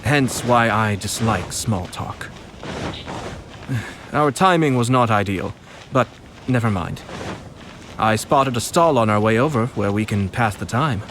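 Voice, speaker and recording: An actor playing a polite and playful young male noble commissioner in a game, speaking in a measured way. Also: lowish voice, a little hiss or hum. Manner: courteous, measured, with soft amused laughs. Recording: game audio with clear music behind.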